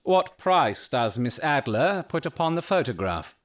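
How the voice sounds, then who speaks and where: A man playing a shrewd, urbane gentleman detective in an audio drama, speaking formally and precisely with dry, theatrical irony. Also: a little high, big pitch swings, a little slow. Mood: crisp, probing.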